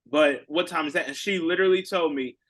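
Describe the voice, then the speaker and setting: A man's voice: fairly high pitch, a bit bright. A man co-hosting a podcast in casual, teasing, talkative chat. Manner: teasing, talkative, drawn-out explanations. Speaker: podcast co-host.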